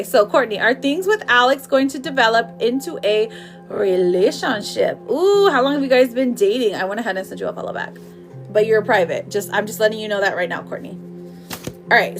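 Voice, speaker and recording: Lady tarot reader on a livestream, kinda high voice, super informal and chatty, faint music in the back. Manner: chatty, informal, affectionate pet names for viewers. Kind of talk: casual live chat while reading cards.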